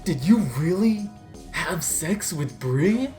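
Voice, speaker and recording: A woman's voice: lowish voice, expressive, slow, warm tone. Woman playing a sheepish young man, echoey. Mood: casual. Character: sheepish, dim young man caught cheating. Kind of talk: amateur comedic dramatic reading. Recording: roomy echo, faint music behind.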